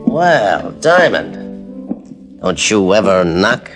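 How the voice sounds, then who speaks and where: A sneering nightclub crook. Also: male voice, sits low, sing-song, drawn-out words, fairly bright tone, faint music behind, full character voice. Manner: sneering, wisecracking. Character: smug male nightclub owner, sarcastic crook. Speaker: club owner. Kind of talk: 1950s radio drama acting.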